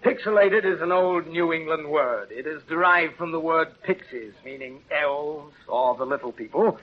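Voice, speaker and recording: An actor playing a pompous, formal prosecuting lawyer in a vintage radio courtroom drama. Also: male voice, fairly high pitch, normal speed, close to an everyday voice. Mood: smooth, explaining. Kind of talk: 1930s-40s radio drama acting. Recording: muffled, noisy 1930s-40s radio recording.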